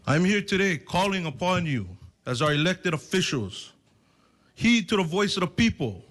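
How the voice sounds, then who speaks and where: A man from Hawaii, giving forceful, emphatic public testimony at a hearing. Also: on the high side.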